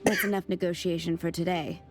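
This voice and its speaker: A woman's voice: lowish voice, fairly even intonation, a little fast, soft background music, close to an everyday voice. A woman playing a haughty, coolly polite envoy in a video game, with a drawling, formal manner. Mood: smug.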